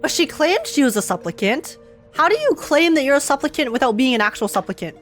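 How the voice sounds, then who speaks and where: A young woman streaming a game, reacting excitedly and rambling through theories out loud. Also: high-pitched, fast talker. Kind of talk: casual reaction commentary. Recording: faint game music behind. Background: game music.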